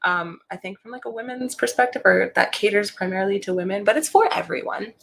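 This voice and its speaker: A woman in her thirties speaks as a guest in a relaxed, easygoing interview. Her voice has a gravelly, fry-edged texture, and she laughs often. She gets animated whenever she acts out what other people said. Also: mid-range voice, fast talker, a little hiss or hum. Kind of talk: casual interview chat.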